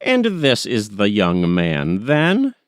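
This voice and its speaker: A man voicing a deliberate, formal, cautious lawyer in an audiobook story, with old-fashioned courteous phrasing. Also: on the low side, wildly swooping pitch, no echo, clean studio sound, exaggerated voice. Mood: pondering. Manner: slow, formal, archaic thee and thy. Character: deliberate, formal Quaker-spoken male lawyer, cautious temper.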